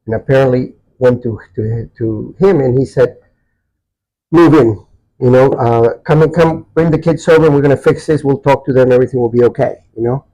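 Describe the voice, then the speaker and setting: Dark-voiced, halting man in his sixties. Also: medium pitch, low-quality recording. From Chile. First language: Spanish. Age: 60s. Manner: halting, meandering, often searching for words. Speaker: podcast guest. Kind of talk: personal storytelling in a casual interview.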